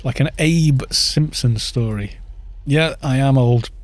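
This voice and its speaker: A man's voice: mid-range voice, slow pace, dry recording. A British man in his fifties chatting in a rambling way while streaming a game and reading out viewer chat. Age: 50s. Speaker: gaming streamer.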